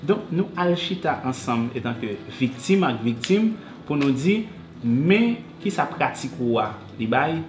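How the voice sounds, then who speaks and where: A man's voice: mid-range voice, big pitch swings, a little slow. A man with a Haitian accent giving interview remarks for a documentary. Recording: echoey room, music bed behind.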